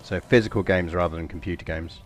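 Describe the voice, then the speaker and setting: English guy streaming a game, really low voice, rambly and chatty. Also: fairly even intonation, quick pace, soft background music. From England. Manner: rambling, chatty, self-deprecating. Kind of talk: casual live gameplay commentary.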